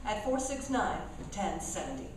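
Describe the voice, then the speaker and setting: A woman's voice: medium pitch, big pitch swings, normal speed. A woman announcer delivering a scripted radio advertisement. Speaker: commercial announcer. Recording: echoey hall, distant mic, faint noise.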